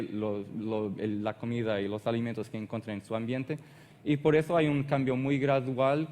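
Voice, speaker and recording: Portuguese-accented male presenter, halting non-native delivery, echoing hall sound. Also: medium pitch, a little mellow, slight background noise. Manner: halting, searches for words and restarts often. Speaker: conference speaker. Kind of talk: technical conference presentation.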